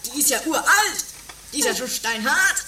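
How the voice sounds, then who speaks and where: A woman plays a brash and excitable comedy figure in a homemade radio play. The voice is high and quick to complain, heard some way from the mic in a roomy space. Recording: distant, roomy, noisy 1980s amateur tape.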